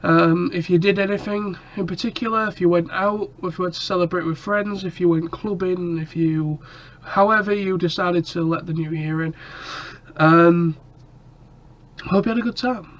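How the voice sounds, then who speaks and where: Man with a head cold, rambling vlog chat, high voice, muffled lo-fi sound. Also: steady tone, moderate pace. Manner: rambling, lots of ums and restarts. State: stuffy nose and cough from a cold. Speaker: vlogger. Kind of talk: casual chat to camera.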